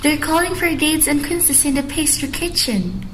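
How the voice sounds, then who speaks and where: Man doing a very high, fussy female nurse. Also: expressive, fairly bright tone, slight background noise. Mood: scolding. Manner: exclamatory, drawn-out calling. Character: fussy, teasing female nurse, loudly grieving in alarm. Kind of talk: amateur radio drama acting.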